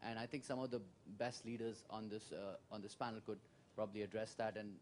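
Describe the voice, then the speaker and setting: A man's voice: lowish voice, steady tone, brisk, a little mellow, a little hiss or hum. A man from Pakistan, asking a panel a formal, polite question from the audience. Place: conference hall.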